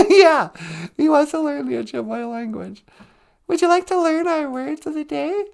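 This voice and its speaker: A man putting on the voice of a chirpy woman co-host of a language podcast, giggly and teasing, her voice going hoarse. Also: extremely high pitch, animated, measured pace, darkish tone, heavily acted. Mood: cheerful. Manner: giggly, teasing, sing-song. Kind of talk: comic puppet-style skit.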